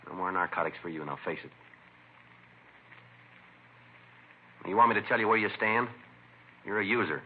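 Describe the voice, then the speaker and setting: An actor playing a stern, matter-of-fact police detective in a 1950s radio drama. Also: male voice, sits low, fast talker, breathy edge. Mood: firm, flat. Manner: terse, matter-of-fact, authoritative. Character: stern, clipped, no-nonsense male police detective. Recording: muffled, noisy vintage radio recording.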